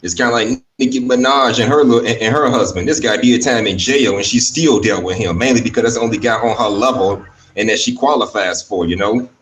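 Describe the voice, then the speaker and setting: A man's voice: fairly low pitch, a little fast, a bit bright. A man giving a critical, colloquial opinion monologue as a panel guest. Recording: distant from the mic.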